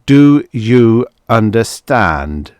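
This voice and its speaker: A man's voice: medium pitch, big pitch swings, extremely slow, darkish tone, smooth, dry recording, pitched above the performer's own voice. Man acting a domineering, pompous husband. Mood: coldly controlled. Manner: contemptuous, commanding, scolding. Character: domineering, furious husband, a pompous high-ranking diplomat.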